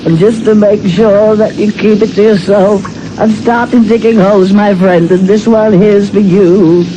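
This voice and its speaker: High-voiced, morbid graveyard killer played by a man. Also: steady tone, a little slow, background noise. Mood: quietly menacing. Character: morbid, secretive child killer lurking in a graveyard. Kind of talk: half-sung dramatic monologue from a novelty record.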